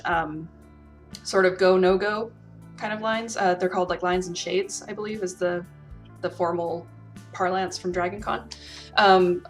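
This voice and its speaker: A woman with a slightly low voice speaks in an earnest, emphatic way. She reflects casually on gaming experiences and gives advice, with music playing behind her. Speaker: role-player and storyteller giving advice.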